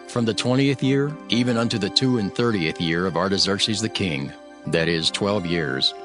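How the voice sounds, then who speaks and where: A man playing an earnest, stern governor, narrating his own account in a solemn, measured dramatized scripture reading. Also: on the low side, close to an everyday voice. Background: background music.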